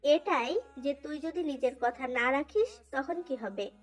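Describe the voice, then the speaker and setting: Woman as suspicious college friend, young man, stern, annoyed, high voice, faint music, audio fan fiction. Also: measured pace. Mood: cold, sceptical. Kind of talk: dramatised fan fiction dubbing. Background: faint background music.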